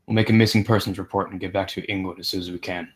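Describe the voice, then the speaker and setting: A man's voice: low voice, steady tone, racing, lightly acted. An actor at a table read playing a grimly practical man covering up deaths at sea. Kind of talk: screenplay table read acting.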